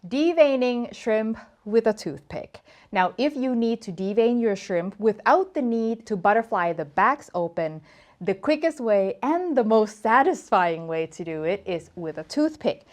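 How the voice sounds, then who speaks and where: A woman's voice: fairly high pitch, lively intonation, measured pace, darkish tone. A woman from Thailand hosting a casual cooking tutorial, talking to camera in a chatty, playful, emphatic way. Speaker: cooking show host.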